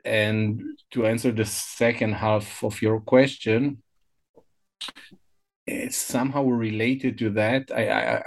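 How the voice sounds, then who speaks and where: A man, Hebrew speaker, giving reflective interview answers as a guest scholar. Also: on the low side. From Israel. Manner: reflective, searching for words.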